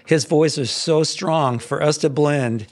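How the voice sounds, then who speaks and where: Gravelly-voiced man telling studio stories. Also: a little high, slow, dry recording. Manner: rambling, animated, restarts often. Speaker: songwriter guest. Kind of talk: casual interview storytelling. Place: podcast studio. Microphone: headphones and boom mic.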